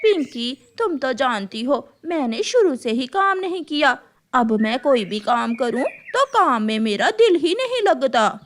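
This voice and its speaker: Woman as a high, earnest young female crow. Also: sing-song, measured pace, a little hiss or hum. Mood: complaining. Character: lazy young female crow eager to prove herself.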